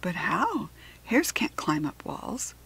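An earnest young farm girl in a children's storybook, acted by a woman reader. Her voice has a husky edge. She speaks emphatically, sounding caring and anxious. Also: mid-range voice, sing-song, slow pace, a bit bright, whispered, heavily acted. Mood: concerned. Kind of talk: children's storybook character reading.

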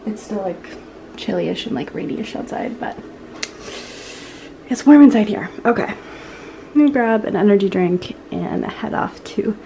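A woman's voice: mid-range voice, a little slow, creaky, pauses often, a little hiss or hum, low-quality recording. A woman in her twenties talking casually to a handheld camera in her bedroom, with lots of "like" fillers. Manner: chatty, relaxed, many "like" fillers. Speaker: lifestyle vlogger. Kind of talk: casual vlog talk to camera. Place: bedroom at home, indoors. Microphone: handheld camera at arm's length.

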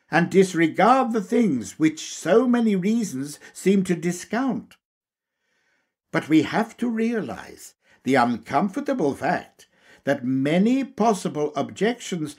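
Slow, husky, expressive male reader. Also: on the high side. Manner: deliberate, measured, emphatic phrasing. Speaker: audiobook reader. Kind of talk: scripted lecture reading.

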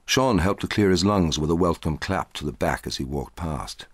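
A middle-aged man reading a short story aloud in a measured, dramatic way, with a husky voice. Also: deep voice, expressive, no room sound, clean studio sound. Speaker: audiobook narrator.

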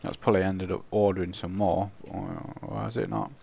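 Croaky man with a cold, thinking aloud. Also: deep voice, steady tone, a little fast, dark tone, a little hiss or hum. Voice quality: croaky, gravelly. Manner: thinking aloud, chuckling at own mistakes. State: hoarse from a cold. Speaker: let's play host. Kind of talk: casual gameplay commentary.

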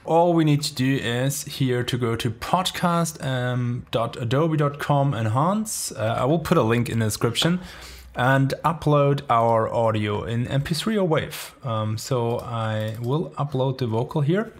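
A man in his thirties with a German accent, speaking at a middling pitch. He chats loosely through a home-studio tutorial and keeps padding his sentences with fillers. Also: unhurried. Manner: chatty, rambling, lots of "like" fillers. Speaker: music production tutor. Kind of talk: casual screen-recorded tutorial. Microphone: studio microphone near the face.

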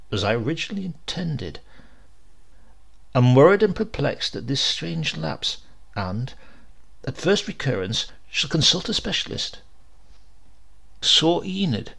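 A man voicing a troubled, precise English gentleman in clipped diary entries for a horror story. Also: fairly high pitch, vocal fry, slightly rough, exaggerated voice. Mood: brooding. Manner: clipped, telegraphic diary phrasing.

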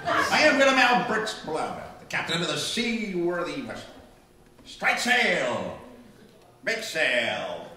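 A man's voice: on the high side, sing-song, slow pace, noisy background. A man playing a boastful, drunken pirate captain in a comic stage skit, growling in hearty pirate talk. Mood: rowdy, drunk. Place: small library room before a live audience. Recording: echoey room, far from the mic.

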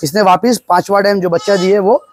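A man's voice: high voice, steady tone, brisk, a little hiss or hum. A man eagerly showing off and pricing goats in an outdoor farm pen, recorded on a nearby phone. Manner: pointing out features, eager selling. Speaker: goat farm seller. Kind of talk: casual sales walkthrough.

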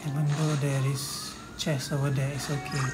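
A man's voice: mid-range voice, little pitch movement, normal speed. Guy gamer doing a chill walkthrough, short simple remarks, game music going behind him. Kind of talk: casual game walkthrough commentary.

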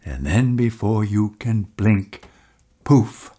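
An actor playing an evasive, placating man with a secret in an audio drama, speaking hesitantly and deliberately. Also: on the low side, animated, warm tone, husky, heavily acted. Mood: firm, agitated. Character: evasive, soothing husband hiding an uncanny secret.

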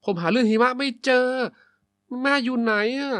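High-voiced plucky boy goat. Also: steady tone, moderate pace, a bit bright, faint music behind. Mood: worried. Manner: feisty, determined. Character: plucky defiant little boy goat kid. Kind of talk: children's cartoon voice acting.

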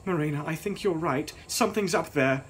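A man's voice: on the high side, a little fast, fairly bright tone, slight background noise, voice pushed up, close to an everyday voice. A man voicing a frightened, anxious young Victorian lady with a strained, urgent delivery in a gothic story reading.

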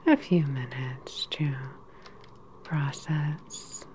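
A female evil scientist, played by a woman, speaks in a breathy, close ASMR whisper. Her voice sits low and moves slowly, calm and clinical as in a sci-fi roleplay. A noticeable bed of lab noise runs behind her. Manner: slow, measured, soothing clinical patter. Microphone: close-up microphone. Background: sci-fi lab ambience.

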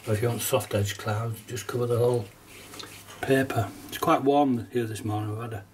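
A middle-aged man with a cold, sounding nasal, casually talking through a watercolour painting demonstration at his desk, relaxed and chatty with small laughs. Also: mid-range voice, normal speed, far from the mic, slight background noise. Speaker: watercolour teacher. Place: painting desk at home, indoors.

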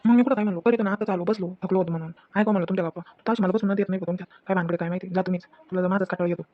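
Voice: medium pitch, steady tone, rapid-fire, dull audio, slight background noise, lightly acted. An actor playing a blunt, easily irritated village family man in a comedy drama, with a rural Maharashtrian Marathi accent. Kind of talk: acted family comedy dialogue.

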